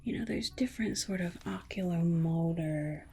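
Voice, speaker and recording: A woman playing a patronizing yet soothing alien scientist in an ASMR roleplay, softly reassuring her human subject. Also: lowish voice, normal speed, creaky, a little hiss or hum. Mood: politely condescending. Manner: patronizing, soothing, hesitant murmured repetitions. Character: condescending, sweetly reassuring female alien scientist examining a human. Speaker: ASMR performer.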